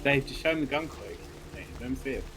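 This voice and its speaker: A man's voice: medium pitch, lively intonation, a little fast, music in the background, low-quality recording. A man chatting casually as a gaming co-host, informal and joking. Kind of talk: casual chat.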